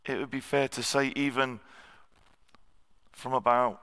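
Slightly husky man preaching. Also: mid-range voice, steady tone, measured pace, sharp tone. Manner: self-deprecating, frequent ums and throat clearing. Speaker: guest preacher. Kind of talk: sermon. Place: church hall. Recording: long church hall reverb.

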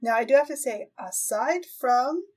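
A woman's voice: high-pitched, expressive, normal speed, darkish tone. Casual woman podcast host with a giggly manner. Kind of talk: casual interview chat.

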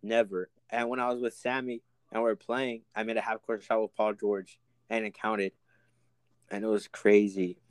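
Brief: young guy co-host, relaxed and laughing, lowish voice, dry close sound. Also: moderate pace, clean tone, dry recording. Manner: easygoing, laughs often, filler-heavy. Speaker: podcast co-host. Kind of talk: casual chat.

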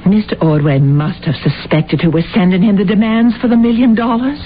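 An actress playing a composed, knowing American woman in a radio drama, with deliberate, theatrical phrasing. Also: medium pitch, close to an everyday voice. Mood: reflective. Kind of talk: 1970s radio drama acting. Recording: muffled, faintly noisy old radio broadcast.

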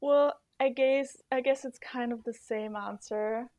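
A young woman from Austria, a former exchange student, answering podcast interview questions in a thoughtful, earnest way with soft laughs and fillers. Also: a little high, fairly even intonation, unhurried, dry recording. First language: German.

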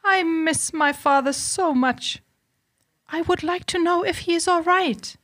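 A woman voicing a sad, gentle young daughter in a storybook, plaintive and pleading. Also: very high voice, unhurried, no room sound, clean studio sound, pitched above the performer's own voice. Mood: tearful, homesick.

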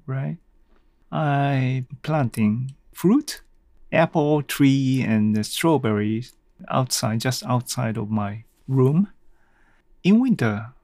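A man hosting a podcast alone, talking casually and without a script, haltingly thinking aloud. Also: mid-range voice, gravelly, darkish tone, dry recording. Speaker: podcast host. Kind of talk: casual unscripted solo talk.

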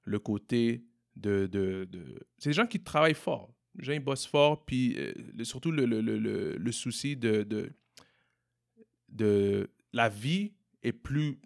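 A young man from Montreal with a Québécois French accent, answering interview questions in a relaxed way, often repeating and restarting words. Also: medium pitch, takes it slowly, vocal fry. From Montreal, Quebec. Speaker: entrepreneur guest. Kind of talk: casual interview conversation.

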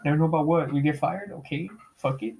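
Young man, podcast host, fairly high voice, slangy and laid-back, swears a lot. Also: fast, vocal fry, darkish tone, slight background noise. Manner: laid-back slang, frequent "bro", laughs often. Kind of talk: casual chat with heavy swearing.

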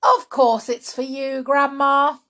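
A woman's voice: high-pitched, fairly even intonation, slow, a bit bright, pitched above the performer's own voice. A woman voicing a sly, mischievous married man in a storybook reading, with a coaxing, amused manner. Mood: sweetly coaxing.

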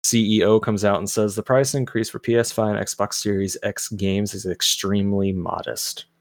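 A man with a lowish voice co-hosting a gaming podcast, reading headlines in a measured, explanatory way. The recording is dry and close, with no room sound. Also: fairly even intonation, no echo. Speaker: podcast co-host reading news. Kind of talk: casual gaming news chat.

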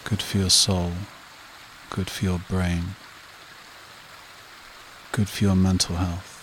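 A man leading a guided meditation and hypnosis in a soothing, repetitive manner with short phrases and long pauses. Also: sits low, a little hiss or hum, poor audio. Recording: rain and running water behind voice. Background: steady rain and stream sounds.

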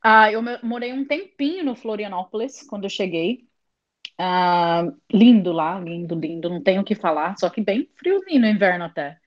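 A young English woman, a native English speaker, chatting in an interview as a lively storyteller. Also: mid-range voice, moderate pace, lo-fi sound. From England. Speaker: interview guest. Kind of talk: casual conversation.

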